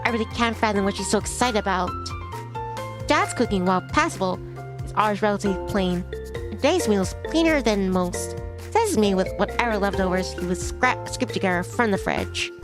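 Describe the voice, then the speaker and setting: A woman's voice: mid-range voice, lively intonation, fairly quick, over music. A woman streaming a visual novel, reading the text aloud with asides to viewers and stumbling over some words.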